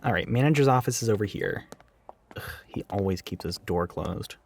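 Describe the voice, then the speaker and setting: A man's voice: fairly low pitch, animated, normal speed, darkish tone, slight background noise. A man acting out a catty, mischievous retail worker in an audio roleplay, with a sassy, teasing, theatrical delivery. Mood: exasperated, gossipy.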